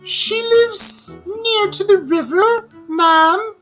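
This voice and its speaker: A woman voicing a storybook toad who poses as a washerwoman, in a shrill falsetto, theatrical, wheedling and gushing. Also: drawn-out words, a bit bright, soft background music, full character voice, rough recording. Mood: plaintive. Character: boastful male toad faking a plaintive old washerwoman. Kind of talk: children's storybook read-aloud.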